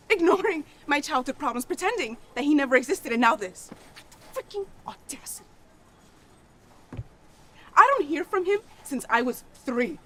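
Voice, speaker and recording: A woman performing an emotional stage monologue as a bitter, overwhelmed adult child confronting an absent father's deathbed, sarcastic and halting. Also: a little high, a bit bright, a little hiss or hum, voice pushed up. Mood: bitter, incredulous. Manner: sarcastic, wounded, halting between outbursts.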